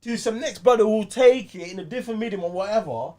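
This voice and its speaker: A man's voice: high-pitched, fast talker, warm tone. A man with a London accent hosting a casual film chat, slangy and repeating phrases for emphasis. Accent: London British. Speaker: podcast host. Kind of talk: casual panel chat about films.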